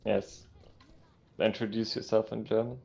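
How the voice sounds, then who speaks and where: A man's voice: fairly low pitch, level tone, unhurried, creaky, darkish tone. A man chatting casually as co-commentator on a live video game match. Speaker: esports co-commentator.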